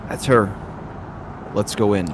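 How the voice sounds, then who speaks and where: A man playing a loyal, earnest and determined vampire clansman in an amateur game drama, speaking in a measured way. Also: on the low side, fairly even intonation, noisy background. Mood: impatient. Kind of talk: amateur voice acting of a visual novel.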